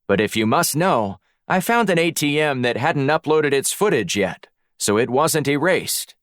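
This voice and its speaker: Clever, smug woman analyst, performed by a male narrator, lifted pitch, playful and self-satisfied. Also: animated, unhurried, fairly bright tone, no room sound, studio quality. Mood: smug, triumphant. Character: smug, sharp-witted female intelligence analyst. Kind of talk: audiobook character dialogue.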